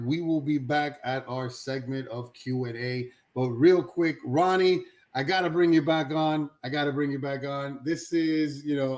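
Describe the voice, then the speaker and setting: Hyped-up male live stream host. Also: on the high side. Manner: energetic, hype-style shout-outs.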